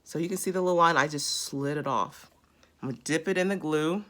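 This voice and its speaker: A woman in her thirties with a raspy voice, chatting casually while she demonstrates a beauty routine close to a phone camera indoors. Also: sits low, brisk, fairly bright tone. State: raspy after illness. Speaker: beauty vlogger. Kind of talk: casual how-to demo. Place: small indoor room.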